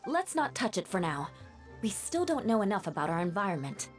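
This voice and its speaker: A woman's voice: mid-range voice, very animated, fairly quick, close to an everyday voice. A woman playing a cautious, level-headed young adventurer in a video game, serious and alert. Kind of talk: video game cutscene acting. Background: game music.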